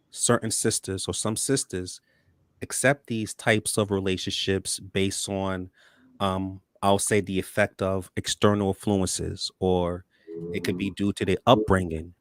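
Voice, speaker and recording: A man hosting a talk show, upbeat and warm with his guests, often repeating words as he starts sentences. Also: deep voice, steady tone, measured pace, dry recording, clean studio sound. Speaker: host.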